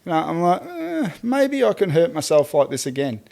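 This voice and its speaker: A man's voice: fairly high pitch, huge pitch swings, moderate pace, vocal fry, mellow. An Australian man co-hosting a casual podcast chat, laid-back and teasing, laughing often. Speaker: podcast co-host.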